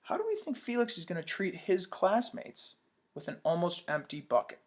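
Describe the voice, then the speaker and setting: A man's voice: sits high, huge pitch swings, normal speed. A man teaching as he reads a picture book aloud to children, stopping to ask questions and praise their answers. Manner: stops to quiz listeners and praise their answers. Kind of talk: read-aloud storytime for children.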